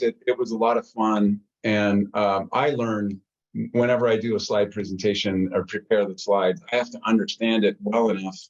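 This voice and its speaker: A man, a doctor presenting in a webinar over a video call, talking in a relaxed, easygoing way. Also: lowish voice, highs cut off. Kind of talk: casual webinar chat.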